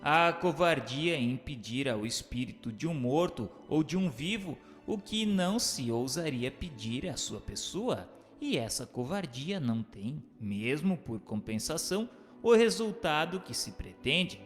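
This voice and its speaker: A man's voice: a little high, very animated. Formal male narrator in long reverb. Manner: formal, measured, didactic. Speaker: narrator and questioner. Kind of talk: scripted narration of a religious text. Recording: hall-like reverb, music bed.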